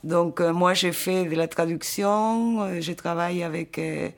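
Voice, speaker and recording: A woman's voice: fairly low pitch, steady tone, measured pace. A woman from Argentina working as a translator, speaking hesitantly with many fillers in an interview.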